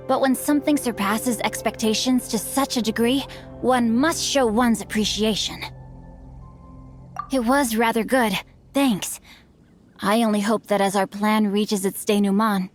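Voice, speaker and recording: A refined young nobleman, bookish and self-assured, with elegant, slightly theatrical phrasing. The voice sits a little high and light. Faint game music plays beneath. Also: steady tone, measured pace. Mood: enthusing. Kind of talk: game voice acting.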